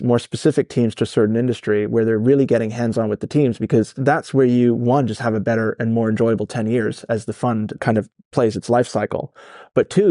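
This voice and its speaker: A man's voice: mid-range voice, warm tone, dry recording, clean studio sound. A man in his thirties, Dutch-born and raised in California, with an American accent, talking candidly and animatedly in an interview, rambling with fillers. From Netherlands, raised in California. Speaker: startup founder, guest. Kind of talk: casual interview talk.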